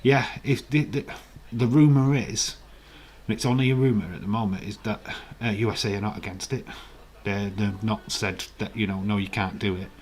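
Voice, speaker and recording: A man with a croaky, hoarse voice, hosting a casual live stream, chatting in a rambling way and reading out viewer comments. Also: lowish voice, fairly even intonation, moderate pace, darkish tone.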